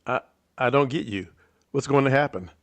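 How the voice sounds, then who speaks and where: A man's voice: mid-range voice, moderate pace, no room sound, close to an everyday voice. Man playing a mild, cultured sidekick, dry sound. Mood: mild, puzzled. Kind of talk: 1940s radio acting.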